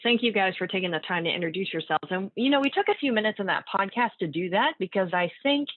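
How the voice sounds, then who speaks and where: A woman's voice: mid-range voice, lively intonation, quick pace, dry recording. A woman neurologist moderating a panel interview, friendly and encouraging, with some fillers. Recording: tinny phone-like line, dry.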